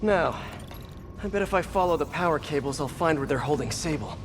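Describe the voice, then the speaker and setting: A man's voice: on the high side, animated, fairly quick, natural voice. An actor playing a young, good-hearted American superhero in a video game, earnest and quippy as he talks. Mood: light, focused. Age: young adult. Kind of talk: video game voice acting, talking to himself. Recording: loud music behind the voice. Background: game music.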